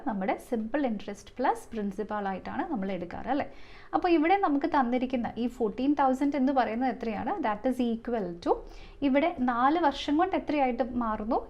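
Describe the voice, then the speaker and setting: A Malayali woman with a rather high voice teaches in a methodical, step-by-step way. She poses questions and checks in as she goes, mixing in English phrases for the technical parts. The recording is a little dull and lo-fi. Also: darkish tone. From Kerala, India. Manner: step-by-step, frequent rhetorical questions and check-in tags; switches often into English terms and phrases. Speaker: exam coaching teacher. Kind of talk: explanatory lesson, working through maths problems.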